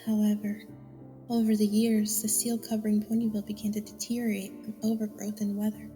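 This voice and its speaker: A woman's voice: medium pitch, little pitch movement, mellow, soft background music, natural voice. Woman as solemn ghost filly in long reverb. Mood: grave, explaining. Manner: solemn, measured storytelling. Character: ghostly young filly, solemn victim of an epidemic.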